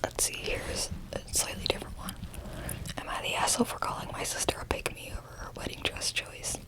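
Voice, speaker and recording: A woman whispering close to the mic as she reads posts aloud, with wry asides in between. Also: low-quality recording. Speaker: ASMR reader. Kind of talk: close-up whispered ASMR reading.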